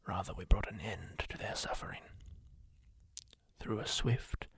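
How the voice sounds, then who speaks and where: A man doing a whispered roleplay, voicing a kindly former war surgeon who soothingly tends a wounded stranger, gentle and reassuring up close to the mic. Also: fairly low pitch, husky, no echo, full character voice. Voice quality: soft whisper, slow and soothing. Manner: gentle, reassuring, asks permission. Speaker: ASMR roleplayer.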